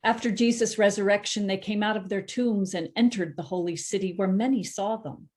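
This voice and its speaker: A woman narrating a Bible passage at a church service. Her reading is steady and measured, with an occasional stumble that she corrects. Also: medium pitch. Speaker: scripture reader.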